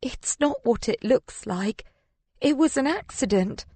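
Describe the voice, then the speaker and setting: A woman's voice: a little high, lively intonation, a little slow, warm tone, dry recording, pitched above the performer's own voice. Woman acting a whining, guilty, pleading lover in an audiobook. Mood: panicked, pleading. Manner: croaking, stuttering, whining.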